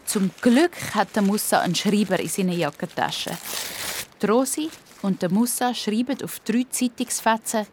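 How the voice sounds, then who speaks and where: A woman narrator with a Swiss accent reads a children's audio story. Her voice sits at a middle pitch, and the delivery is that of scripted storytelling. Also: normal speed, noisy background.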